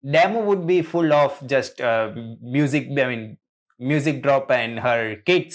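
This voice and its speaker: A man casually reacting to game trailers, speaking in a hesitant, halting way. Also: medium pitch, a bit bright. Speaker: reaction video host.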